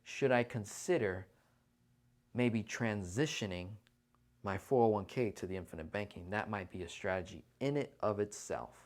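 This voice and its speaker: A man hosting a casual livestream finance Q&A, talking persuasively and often checking in with listeners. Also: on the low side. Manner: conversational, persuasive, often checks in with "right?" and "okay?". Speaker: financial Q&A host.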